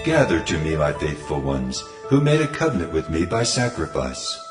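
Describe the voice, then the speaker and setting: A man's voice: a bass voice, sing-song, moderate pace, music in the background. A man playing a majestic, commanding God in a dramatized scripture reading, solemn, with heavy reverb. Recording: echoing long hall reverb.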